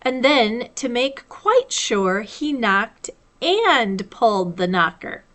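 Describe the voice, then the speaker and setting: A woman reading a children's storybook aloud as a library storyteller, with measured, deliberate phrasing. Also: on the high side, very animated, a bit bright.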